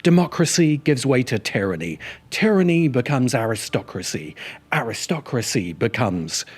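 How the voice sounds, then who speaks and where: A man's voice: mid-range voice, very animated, moderate pace, slightly rough. A man narrating a scripted video essay with sardonic, theatrical emphasis.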